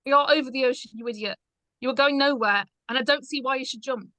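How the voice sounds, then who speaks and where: A woman's voice: sits high, fast, sharp tone, no room sound. A woman playing an earnest, protective female passenger who pleads and insists, in a script read. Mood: firm, indignant. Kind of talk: screenplay table read acting.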